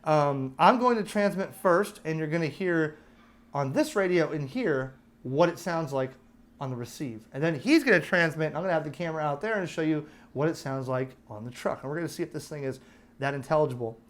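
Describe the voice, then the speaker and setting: A man's voice: a little high, fairly quick. An American man giving a casual, chatty product review, explaining features step by step in an informal way. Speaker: host of a radio review channel.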